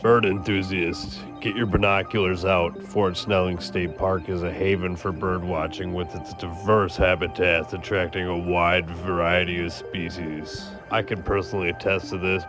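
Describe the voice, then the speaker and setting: A man with a hoarse, raspy voice narrating a scripted park-trail video as a voice-over. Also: sits low. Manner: reads a scripted nature guide in an even tone. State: hoarse from yelling. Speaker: vlogger.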